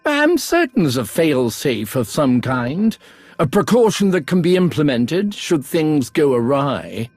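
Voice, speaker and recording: Game archaeologist: bookish, precise, husky and unhurried, full of wonder. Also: male voice, fairly high pitch, big pitch swings, darkish tone, no echo, soft background music, exaggerated voice. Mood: eager, musing. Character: fussy, scholarly male archaeologist full of wonder. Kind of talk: video game voice acting.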